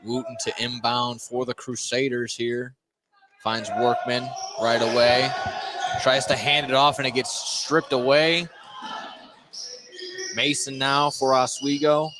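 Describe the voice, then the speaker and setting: A man's voice: medium pitch, level tone, takes it slowly, crowd noise. A man with an American accent doing live play-by-play radio commentary of a basketball game. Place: indoor sports gym. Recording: loud gym noise behind. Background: loud game ambience.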